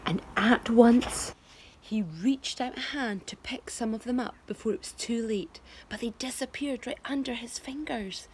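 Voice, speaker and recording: A woman's voice: on the high side, a little mellow, a little hiss or hum. A middle-aged woman teacher reading a children's book aloud expressively, asking her listeners questions. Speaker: primary school teacher.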